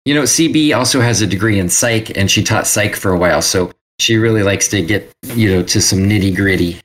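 A man's voice: fairly low pitch, a little fast, fairly bright tone, poor audio. Man chatting casually as an interview guest. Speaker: interview guest, self-published creator.

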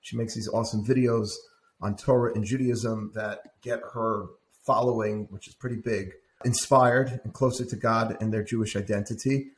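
A man's voice: on the low side, fairly even intonation, moderate pace, reverberant. A man hosting a podcast interview, welcoming his guest with enthusiasm and restarting his sentences now and then. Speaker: podcast host, rabbi.

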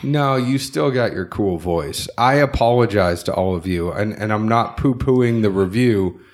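A man with a nasal, somewhat low voice hosts a laid-back podcast. He chats easily with his co-host and slides into sponsor reads in a loose, conversational way. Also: a little slow. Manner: relaxed, chatty, reads ad plugs loosely. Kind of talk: casual podcast chat.

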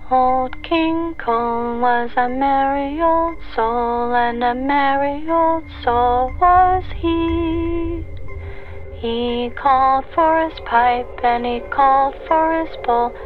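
A woman's voice: high-pitched, phone-quality sound, faint music behind. An actress playing an eerie, teasing woman who speaks as a secret agency's answering machine in an audio drama. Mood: mocking singsong. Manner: slow, taunting, breaks into singsong.

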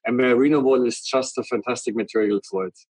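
A man's voice: mid-range voice, fast talker, darkish tone, breathy edge, no echo. A middle-aged man from Germany with a strong German accent, earnestly explaining a product at length in an interview. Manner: earnest, explains in long run-on sentences. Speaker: guest, company representative.

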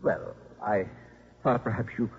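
An actor playing a gentle, drily humorous priest in his sixties, mild and a little hesitant, in a 1950s radio drama. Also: lowish voice, fairly even intonation, measured pace, natural voice. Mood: deferential, sly. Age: 60s. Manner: hesitant, mild, wryly formal. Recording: muffled 1950s radio broadcast.

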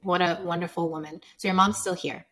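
A woman's voice: fairly low pitch, fairly even intonation, a little fast, crowd noise. A woman hosting an interview, upbeat and encouraging as she asks questions. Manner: upbeat, encouraging, laughs easily. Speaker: podcast host.

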